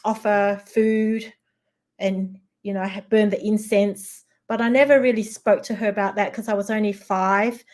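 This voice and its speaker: A woman's voice: medium pitch, fairly even intonation, moderate pace, a little mellow. A middle-aged woman from Singapore, a guest in a casual chat, talking hesitantly with many fillers and restarts.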